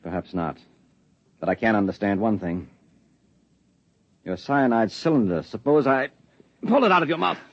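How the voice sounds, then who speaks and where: A man's voice: lowish voice, expressive, normal speed, long pauses. An actor playing a hard Earthman interrogating an alien in a 1950s radio drama, clipped and menacing. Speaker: husband in a radio play. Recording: dull vintage radio recording.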